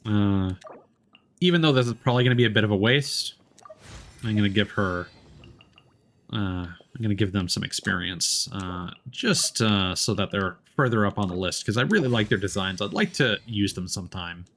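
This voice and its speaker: A man's voice: on the low side, moderate pace, a bit bright, slight background noise. A man livestreaming a video game, thinking aloud in a casual, rambling way and swearing now and then. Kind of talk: casual gaming stream chat.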